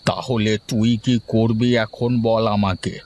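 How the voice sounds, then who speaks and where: A man voicing a worried old father who speaks slowly to his grown daughter in a cartoon. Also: lowish voice, steady tone, darkish tone, a little hiss or hum, close to an everyday voice. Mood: scolding. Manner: slow, scolding then yielding.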